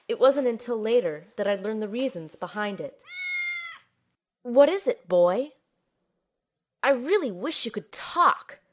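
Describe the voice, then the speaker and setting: A woman playing an earnest, determined young operative, telling and acting out her mission expressively in an audio drama. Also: fairly high pitch. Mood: puzzled. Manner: expressive, storytelling. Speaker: narrator and lead character.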